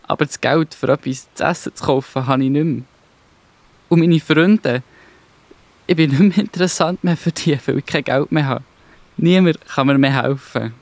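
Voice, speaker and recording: A man's voice: a little high, moderate pace, no room sound, lightly acted. A man playing a humble, remorseful young runaway son, pleading, in a Swiss German children's Bible radio play. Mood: hungry, despairing. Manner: despairing, pleading.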